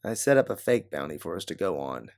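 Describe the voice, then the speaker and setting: A man's voice: low voice, big pitch swings, fast talker, creaky, darkish tone, dry recording, studio quality, voice pushed down, heavily acted. A man voicing a guarded, hard-bitten female bounty hunter in a science fiction audiobook. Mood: bitter, matter-of-fact. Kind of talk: audiobook character dialogue.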